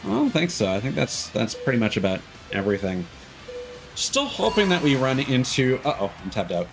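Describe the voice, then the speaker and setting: Male game streamer, slightly low-to-middling pitch, casual and opinionated, rambling and swearing freely over prominent background music. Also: very animated, normal speed, vocal fry, rough recording. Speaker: livestreamer.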